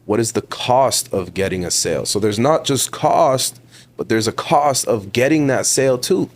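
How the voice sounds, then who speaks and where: A man's voice: mid-range voice, expressive, normal speed. A man in his twenties from Ontario with a Toronto accent, a podcast guest talking in an emphatic, motivational way, repeating words for stress and calling the host bro. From Ontario, Canada. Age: 20s. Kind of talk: casual interview talk.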